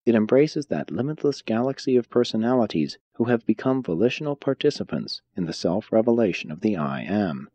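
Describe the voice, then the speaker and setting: A man reading an audiobook of philosophical religious text in a measured, solemn, deliberate way. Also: fairly low pitch, dark tone. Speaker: audiobook narrator. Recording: dull, muffled lo-fi audio.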